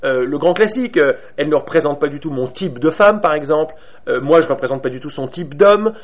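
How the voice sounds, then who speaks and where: A man with a Parisian French accent speaks to a small group about spiritual ideas. His voice sits a little high, and he often stops and restarts his sentences, filling gaps with fillers and a short laugh now and then. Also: expressive, fairly quick, highs cut off. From Paris, France. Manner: hesitant, many restarts and fillers, with light laughs. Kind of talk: informal spiritual talk to a group.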